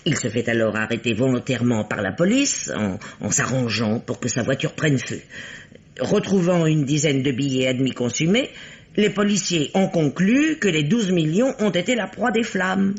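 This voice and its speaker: A man's voice: a little high, animated, normal speed. An actor playing a shrewd, bossy amateur detective who teases his partner, in theatrical, explanatory 1950s French radio mystery style. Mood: explaining, assured. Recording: dull vintage radio recording.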